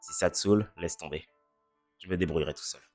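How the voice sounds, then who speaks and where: A performer voicing a cynical, self-deprecating teenage boy in a French fan dub who speaks in a deadpan way. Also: low voice, a little fast. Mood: resigned. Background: faint music.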